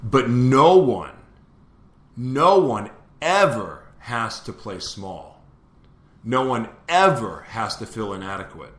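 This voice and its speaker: Male host, mid pitch, earnest preachy delivery, roomy echo. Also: big pitch swings, takes it slowly, a bit bright. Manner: preachy, repeats questions to listeners. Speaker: show host. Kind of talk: live motivational talk to listeners.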